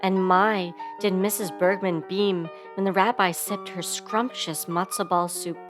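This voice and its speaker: Expressive woman reading a storybook over music. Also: medium pitch, measured pace. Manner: theatrical emphasis on sound-effect words. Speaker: storybook reader. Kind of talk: expressive scripted children's read-aloud. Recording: music playing behind the voice. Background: instrumental music.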